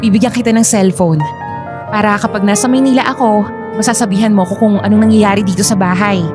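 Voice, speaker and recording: A woman's voice: medium pitch, fairly even intonation, fast talker, music in the background, natural voice. A woman playing an exasperated, worried older sister and working mother in radio drama dialogue, with emphatic, complaining interjections. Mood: persuasive.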